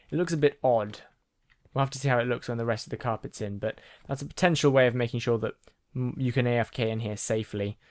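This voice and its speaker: A man talks his viewers through a building game in a loose, chatty way, full of ums and half-finished sentences. His voice sits at an ordinary pitch. The recording is close and dry, with no room sound. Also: no echo. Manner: chatty, rambling, with frequent ums and restarts. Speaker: gaming channel host. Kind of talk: casual gameplay commentary.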